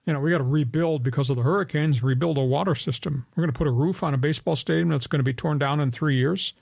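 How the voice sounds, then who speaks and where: A gravelly older man in his seventies hosts sports talk radio and wanders through opinionated stories with plenty of restarts. His voice sits a little high for a man, and the studio sound is dry and close. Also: fast, a little mellow, dry recording. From New York. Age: 70s. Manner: storytelling, opinionated, rambling with restarts.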